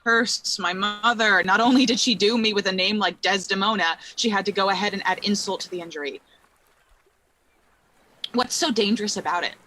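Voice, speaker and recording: A woman's voice: medium pitch, normal speed, pushed and bright, slight background noise, close to an everyday voice, rough recording. A young adult woman playing a dreamy, curious, playful young woman with a hesitant, wry manner in a live play reading.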